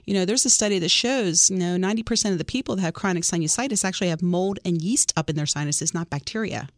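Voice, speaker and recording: A woman's voice: lowish voice, fast talker, no room sound, studio quality. A woman, a doctor co-hosting a radio show, chatting and sharing personal stories. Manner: chatty, shares personal stories, laughs easily. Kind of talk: radio interview.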